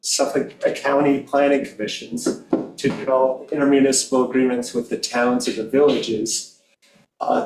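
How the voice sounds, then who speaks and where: A man on planning commission staff giving a slide presentation in an even, measured way. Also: medium pitch. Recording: echoey room from far off with faint noise.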